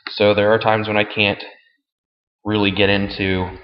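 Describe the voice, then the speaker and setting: Low-voiced man in a muffled, echoey recording. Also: little pitch movement, unhurried. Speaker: fantasy football league commissioner. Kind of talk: casual screen-share tutorial, explaining step by step. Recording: muffled, boomy room with long reverb.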